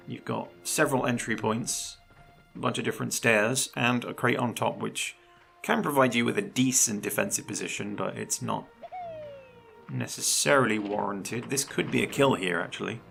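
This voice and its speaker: A man commentating a video game match live, chatty and analytical, his voice a little croaky. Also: medium pitch, normal speed, fairly bright tone, faint music behind. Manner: chatty and analytical, laughs often. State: slightly croaky voice. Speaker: esports commentator.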